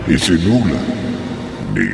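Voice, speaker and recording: Very deep, gravelly male horror narrator. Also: huge pitch swings. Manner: ominous, drawn-out, solemn delivery. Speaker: narrator and announcer of a horror radio drama. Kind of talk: theatrical horror radio narration and credits. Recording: long cavernous reverb over music. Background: music.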